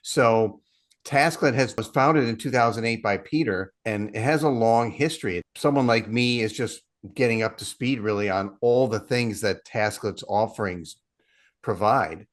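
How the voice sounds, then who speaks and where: Low-voiced middle-aged man explaining. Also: moderate pace. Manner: steady, explanatory, self-deprecating. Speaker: partnerships head, guest. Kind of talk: casual interview chat.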